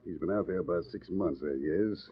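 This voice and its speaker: An actor playing a hearty, blunt police inspector with slangy talk in a 1940s radio drama. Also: male voice, deep voice, fairly quick, lightly acted. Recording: tinny old radio recording.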